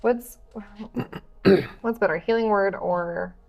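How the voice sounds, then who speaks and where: A woman's voice: mid-range voice, takes it slowly, creaky, a little mellow, soft background music. A woman playing a tabletop game, chatting casually and thinking aloud in a scattered way.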